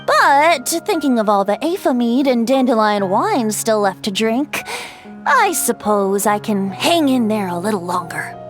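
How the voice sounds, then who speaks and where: High-voiced, lilting youthful wind-spirit bard played by a woman. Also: big pitch swings, measured pace. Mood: lighthearted. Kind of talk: scripted game character storytelling. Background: background music.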